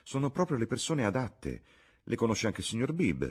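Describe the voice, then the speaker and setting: An eager young gentlewoman voiced by a man in an audiobook. The voice sits higher than the reader's own and moves in lively swings, polite but insistent. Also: moderate pace, slightly rough. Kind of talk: audiobook character voice.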